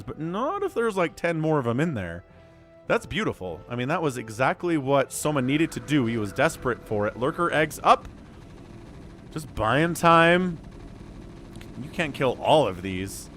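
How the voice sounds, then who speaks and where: A man calling a live strategy game match solo, his voice a little hoarse and stuffy from a cold, swinging from calm analysis to loud exclamations. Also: on the high side, normal speed, soft background music. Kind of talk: live esports play-by-play commentary.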